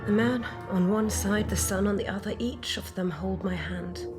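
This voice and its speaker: A woman playing a dreamy, tender heroine recalling what she senses in a soft, breathy, hushed monologue, savoring each phrase. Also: mid-range voice, moderate pace, lightly acted. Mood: hushed wonder. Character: dreamy, tender woman hero recalling sensory memories with wonder. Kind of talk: intimate dramatized monologue. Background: music.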